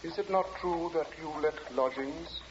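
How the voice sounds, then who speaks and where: An actor in a 1940s radio drama playing a weary, pious, eccentric gentleman lodger with a hesitant, formal and precise manner. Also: on the high side, moderate pace, close to an everyday voice. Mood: guarded, brisk. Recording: echoey, muffled, hissy 1940 radio recording.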